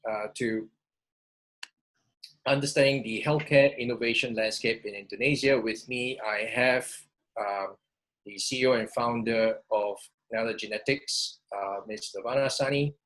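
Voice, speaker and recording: A man moderating a webinar panel formally and politely, with halting phrasing. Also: on the low side, fairly bright tone, poor audio.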